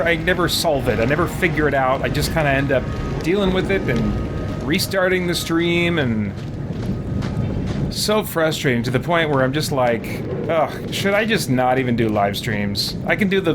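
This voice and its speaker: A man giving casual let's play commentary as a game streamer, hesitantly thinking aloud. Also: a little high. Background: loud game music and sound.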